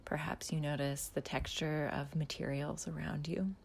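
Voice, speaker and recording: Soft low-voiced woman guiding a meditation. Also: gravelly. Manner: slow, soft, calm instructions with long pauses, often half-whispered. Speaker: mindfulness guide. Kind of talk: guided body scan meditation.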